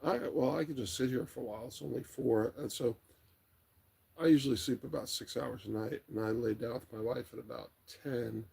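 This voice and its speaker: A middle-aged man hosts a casual late-night livestream, reading comments and rambling along at his ease. His voice is heavily creaky and rough, with a worn, tired rasp. The tone stays mellow and dark, relaxed and unhurried throughout. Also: medium pitch. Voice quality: raspy, gravelly. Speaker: livestream host. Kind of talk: casual chat, reading viewer comments aloud.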